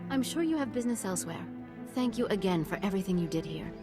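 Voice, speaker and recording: Game colonel female actor: mid pitch, formal, clipped, fair but firm, music underneath. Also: lively intonation, fast talker, close to an everyday voice. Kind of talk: video game dialogue.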